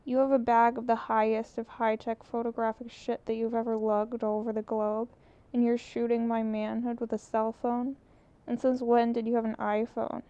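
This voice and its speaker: A woman's voice: on the high side, level tone, moderate pace, hardly any pauses, mellow, smooth, close to an everyday voice. A woman voicing a dry, teasing adult man in an audiobook. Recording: muffled lo-fi recording.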